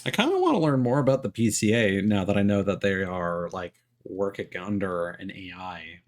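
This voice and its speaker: A man's voice: deep voice, very animated, moderate pace. A man doing casual gameplay commentary as a streamer, thinking aloud as he plays. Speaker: live streamer playing a game. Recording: game music clearly behind. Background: video game music.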